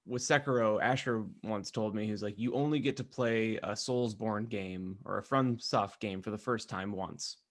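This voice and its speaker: A man with a fairly low voice talks casually as a podcast co-host. He rambles through his stories and pokes fun at himself as he goes. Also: moderate pace, few pauses. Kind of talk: casual chat with friends. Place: remote video call.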